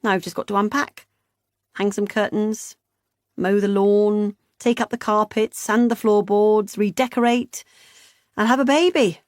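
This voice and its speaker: A woman's voice: mid-range voice, steady tone, a little slow, a little mellow, no room sound, natural voice. A woman voicing a heavily pregnant young woman with a dry, sarcastic manner in a storybook. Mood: wry. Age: young adult.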